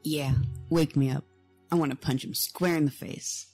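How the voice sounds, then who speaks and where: An actor playing a wounded, stoic young prince in an audio drama, strained and weary. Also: male voice, a little high, steady tone, normal speed, darkish tone, faint music behind. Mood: grudging.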